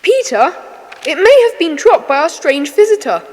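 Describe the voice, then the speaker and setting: Casting: an eager young schoolkid adventurer in an audio drama, earnest, extremely high and lively voice. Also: female voice, normal speed, in a large hall. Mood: curious. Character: keen, curious young schoolchild in a secret club. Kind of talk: children's audio drama acting.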